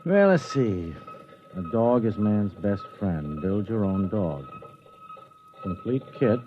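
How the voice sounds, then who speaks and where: An actor playing an eager, distractible suburban husband who tinkers, muttering and stammering in a 1950s radio drama. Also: male voice, lowish voice, very animated, takes it slowly. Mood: musing. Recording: tinny, band-limited old radio recording.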